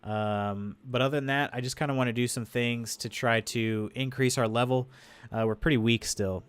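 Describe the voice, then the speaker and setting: A man's voice: medium pitch, fairly even intonation, moderate pace, smooth, no echo. A congested man chatting loosely on a game livestream, rambling through his thoughts with plenty of fillers and restarts. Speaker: streamer. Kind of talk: casual livestream chat. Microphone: desk microphone. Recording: dry close sound.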